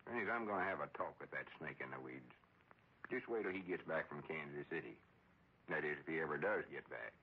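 An actor playing a self-important, grumbling old storekeeper with a folksy rural Southern American drawl, in radio comedy style. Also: male voice, a bass voice, brisk, a little hiss or hum. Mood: aggrieved. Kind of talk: 1930s-40s radio comedy acting. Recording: dull old radio recording.

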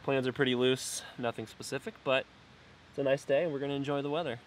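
A man's voice: medium pitch, steady tone, quick pace. A man in his thirties casually narrating a family vlog outdoors, chatting with his kids. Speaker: family vlogger. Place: outdoors by a cabin and river. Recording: outdoor camera audio with clear background noise.

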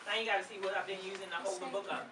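A middle-aged woman doing an interactive read-aloud talk for young children, animated, asking questions and prompting them to repeat words. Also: medium pitch, rapid-fire, a crowd in the background. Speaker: children's book author and presenter. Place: children's room in a public library. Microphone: far camera. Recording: distant, echoey room with background noise. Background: room ambience, children.

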